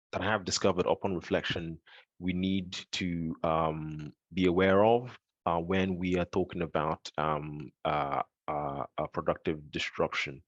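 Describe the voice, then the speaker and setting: A Nigerian-born man with a London accent giving a presentation, speaking haltingly with many fillers and restarts. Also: low-pitched, dry recording, muffled recording. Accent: London English. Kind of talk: presentation talk with slides.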